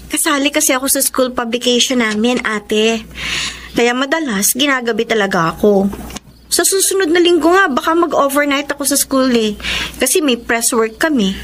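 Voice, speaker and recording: High-pitched, animated Filipino teenage girl. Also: moderate pace, slight background noise. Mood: defensive. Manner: animated, gushing when playful, earnest when explaining. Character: bubbly teenage younger sister, earnest student editor. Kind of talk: Filipino radio drama acting.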